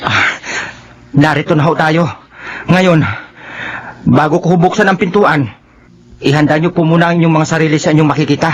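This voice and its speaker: Man acting as deferential, grimly confessing Filipino employee, higher-pitched. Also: fairly even intonation, moderate pace, pauses often, highs cut off, natural voice. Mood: urgent, guiding. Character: deferential male employee grimly confessing his boss's crimes. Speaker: radio drama actor. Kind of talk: Filipino radio horror drama acting.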